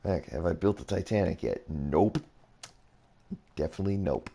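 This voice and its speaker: A young man casually rambling through a game tour as a streamer, his voice a little raspy, often reacting with surprise. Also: sits low, expressive, moderate pace, mellow. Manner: rambling, easily impressed, mild swearing. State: slightly raspy voice. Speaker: gaming streamer. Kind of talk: casual game commentary while touring builds.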